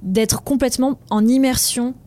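Warm-toned woman musing on film. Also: fairly high pitch, fairly even intonation, moderate pace. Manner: thoughtful, many fillers. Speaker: film journalist. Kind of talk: podcast discussion.

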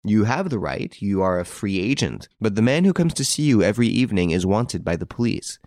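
A man voicing an assured, wry gentleman investigator in an audiobook story, speaking theatrically and without hesitation. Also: fairly low pitch, big pitch swings, fairly quick, no room sound, clean studio sound. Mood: firm, calm.

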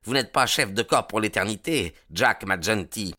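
Man voicing a bright, furious male rival. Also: lowish voice, lively intonation, unhurried, pitched above the performer's own voice. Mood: bitter, threatening. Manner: sharp, defiant, indignant. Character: furious, resentful male lodge brother, sullen rival.

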